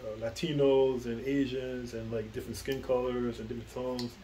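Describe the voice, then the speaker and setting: A middle-aged man from the Bronx, answering as the guest in a relaxed interview. He rambles, halts and restarts, filling gaps with little fillers. Faint noise sits behind him, and his pitch sits in the middle range. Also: moderate pace, reverberant, low-quality recording. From the Bronx, New York. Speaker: guest, comic book writer. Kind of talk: casual interview conversation.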